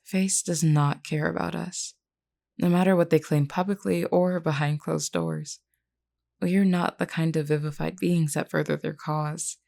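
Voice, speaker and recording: A woman playing a composed, kindly vampire queen in a scripted roleplay audio, speaking in a measured, formal, earnest and reassuring way. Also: low-pitched, steady tone, vocal fry, darkish tone. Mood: earnest, solemn. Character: composed, kindly vampire queen, solemn but caring leader.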